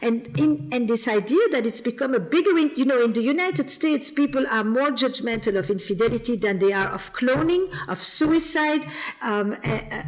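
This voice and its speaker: A woman from the Flemish part of Belgium with a Flemish accent, speaking thoughtfully as a guest therapist on a podcast. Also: high-pitched. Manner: reflective, repeats words while thinking. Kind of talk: podcast interview.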